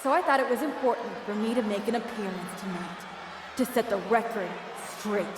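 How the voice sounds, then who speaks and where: A woman's voice: medium pitch, a little fast. A woman playing a bitter, jealous wrestling promoter in a video game, delivering a sarcastic, menacing speech over an arena PA. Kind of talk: theatrical in-ring promo speech. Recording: echoey arena PA with crowd noise.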